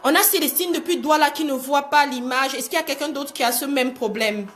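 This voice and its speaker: High-pitched woman giving a motivational talk. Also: brisk, faint music behind. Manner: animated, exhortative, repeats phrases for emphasis, rhetorical questions. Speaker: motivational coach hosting a livestream. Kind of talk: motivational live talk to online viewers.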